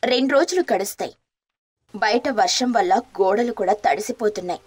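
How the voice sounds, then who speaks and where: A woman narrating a moral story and playing the caring wife, speaking softly and earnestly. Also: medium pitch, moderate pace, husky.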